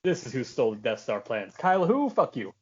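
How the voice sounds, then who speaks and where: Male podcast co-host, average pitch, casual and sweary with mock-aggrieved ranting, slightly muffled lo-fi audio. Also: very animated, a little fast, a little mellow, breathy edge. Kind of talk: casual fan podcast chat.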